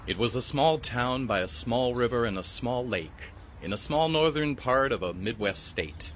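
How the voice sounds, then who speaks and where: A man's voice: medium pitch, animated, normal speed, fairly bright tone, a little hiss or hum, lightly acted. A man giving dramatic, scripted storybook narration in an audio drama. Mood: evocative. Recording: music behind the voice.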